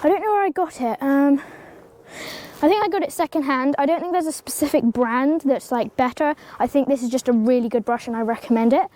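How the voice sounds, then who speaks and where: A woman's voice: high-pitched, a little fast, mellow. A teenage girl with a slight cold, casually explaining horse grooming in a stable yard, full of ums and likes. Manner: chatty, rambling, many ums and likes, talks to the pony. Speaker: horse-care vlogger. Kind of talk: casual how-to tutorial. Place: outdoor stable yard beside a pony.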